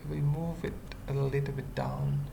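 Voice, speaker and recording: Coding screencast presenter, very gravelly fry, halting murmured delivery, thinking aloud, noticeable background noise. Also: mid-range voice, fairly even intonation. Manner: halting, murmured fragments while working. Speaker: web development tutorial presenter.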